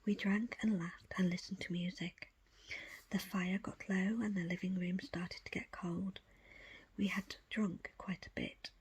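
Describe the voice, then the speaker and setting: A woman reading a book aloud as ASMR in a breathy, unvoiced whisper, with hushed, deliberate phrasing in short pieces. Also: fairly low pitch, fairly even intonation, rough recording. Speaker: audiobook reader.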